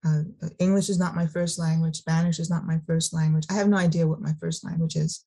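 Halting, lowish-voiced woman sharing her story. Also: steady tone, gravelly, dark tone. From Sacramento, California. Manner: halting, many restarts and repeated words. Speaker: speaker sharing at a recovery meeting. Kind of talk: personal sharing, unscripted.

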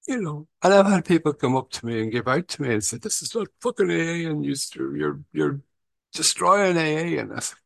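A man's voice: mid-range voice, creaky, a bit bright, husky, dry recording. A man with an Irish accent telling, in a frank and rambling way, how he started a recovery meeting, often saying "you know" and swearing casually. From Ireland. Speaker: recovery meeting founder sharing his story. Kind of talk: casual personal share.

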